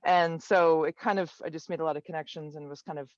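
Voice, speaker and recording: A woman colorist on an online panel, telling her career story in a relaxed, thoughtful way with many fillers and restarts. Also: low voice, quick pace, no room sound, dull audio. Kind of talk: casual panel talk.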